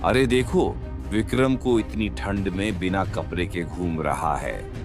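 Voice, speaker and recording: A man's voice: sits low, a little slow. A man voicing a stern, disapproving village elder in a cartoon story, scolding and emphatic. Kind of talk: Hindi cartoon story voice acting. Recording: music bed behind the voice.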